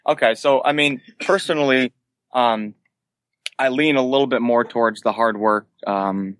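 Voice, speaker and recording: A man's voice: mid-range voice, fairly even intonation, moderate pace, a bit bright, clean tone, no echo. A man in his late twenties, a band vocalist, answering casually as an interview guest. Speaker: guest, band vocalist who sings and screams.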